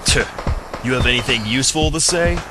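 A man performs a tough guardian hero for an audio drama. His medium-pitched voice is stern and defiant. Music plays clearly behind him. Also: brisk, natural voice. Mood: stern defiance. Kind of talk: amateur audio drama acting. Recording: voice over music.

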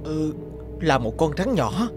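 A man playing a kind, humble young orphan peasant in a cartoon, gentle and earnest. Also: fairly high pitch, big pitch swings, slow, over music. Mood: gentle, caring. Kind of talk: cartoon dialogue.

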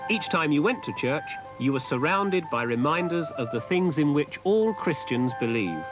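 Young male presenter, animated delivery, backing music, slightly higher voice. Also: moderate pace, low-quality recording. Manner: clear, explanatory. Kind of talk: scripted documentary narration. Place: outdoors by a cathedral. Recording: narration over music.

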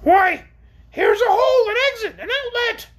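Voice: very high voice, animated, normal speed, voice pushed up. A woman voicing a vain, chatty flying creature from a storybook, nervous yet brave, in an exclamatory, self-important way. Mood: eager.

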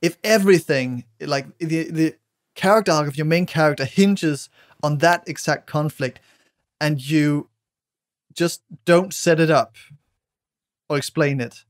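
A man on a podcast panel discussing film casually, blunt and halting, often repeating words. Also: fairly high pitch, no room sound, studio quality.

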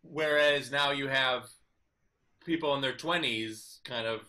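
A man in his thirties hosting a casual interview over a video call from his kitchen, asking rambling, animated questions. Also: mid-range voice, unhurried, noisy background. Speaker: host. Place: home kitchen. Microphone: video call webcam.

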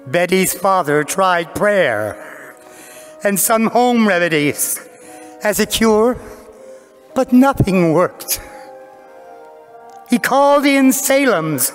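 An older man narrating a stage pantomime from a script, with dramatic, deliberate phrasing and weighty pauses. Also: high-pitched, animated, faint music behind. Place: large church hall stage before a seated audience. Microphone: lectern microphone.

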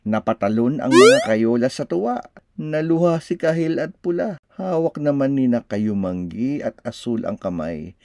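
A man reading a children's book aloud as an expressive storyteller. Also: medium pitch, moderate pace. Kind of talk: expressive read-aloud narration. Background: faint music.

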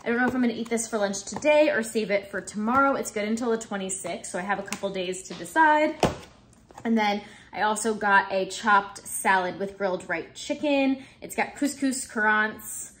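A woman in her twenties chatting casually in her home kitchen, relaxed and full of ums and likes, filmed by a camera in front of her. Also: medium pitch, moderate pace, a little hiss or hum. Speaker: vlogger. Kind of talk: casual grocery haul chat. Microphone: camera in front, close framing.

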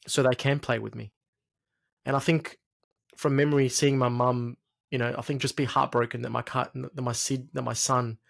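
A man in his late thirties from Egypt and Australia, a podcast guest sharing personal stories in a reflective, halting way with repeated phrases. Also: mid-range voice, gravelly. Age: late 30s. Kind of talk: personal storytelling in conversation. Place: small home studio, indoors. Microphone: close podcast mic on arm.

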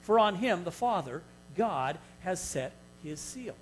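A man's voice: high voice, expressive, a little slow. Man in his fifties, pastor, earnest teaching sermon on a headset mic in a church hall, light mains hum. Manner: earnest teaching, rises loud when reading scripture. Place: church hall stage, indoors.

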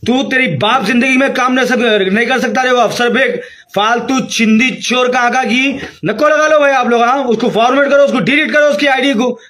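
A man in his fifties speaks with a Hyderabadi accent in a very high-pitched voice. He delivers an agitated statement to camera, scolding and repeating himself as he rants. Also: normal speed, sharp tone. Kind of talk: angry rant to camera. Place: small room indoors.

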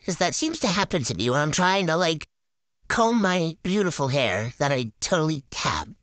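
Giggly bragging woman, lively pitch. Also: moderate pace, no echo. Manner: giggly, silly, bragging, talks in teen slang. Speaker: game video voice-over. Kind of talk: playful improvised banter voicing game characters.

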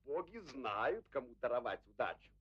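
A man's voice: mid-range voice, big pitch swings, moderate pace, slightly rough. An actor playing a proud, cunning king of legend in an old Soviet cartoon, grand and mocking in delivery. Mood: mocking, commanding. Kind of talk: theatrical Soviet cartoon acting. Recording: dull vintage cartoon soundtrack.

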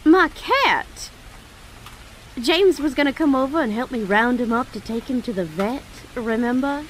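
A woman's voice: high voice, animated, a little slow, slight background noise, low-quality recording. A woman playing a worried, pleading elderly widowed neighbor in an audio drama, with a plaintive, fussy manner.

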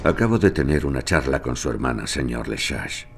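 A stern police commander, speaks very low with a Castilian Spanish accent. It sounds like a crime radio drama, with clear music behind the voice. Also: male voice, lively intonation, fairly quick, full character voice. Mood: stern, pressing. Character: police commander, stern, protective, troubled husband. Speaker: actor in a crime audio drama. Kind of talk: dramatic audio fiction acting.

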